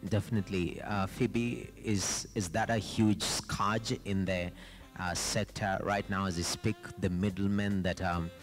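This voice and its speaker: A young man moderating a panel discussion with a handheld microphone, halting and repeating words. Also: deep voice, steady tone, faint music behind. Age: young adult. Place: indoor conference stage.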